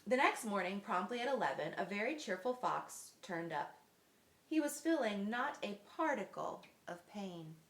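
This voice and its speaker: A woman's voice: mid-range voice, very animated, normal speed, a bit bright. A woman reading a children's story aloud expressively, as a school librarian does. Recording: echoey room.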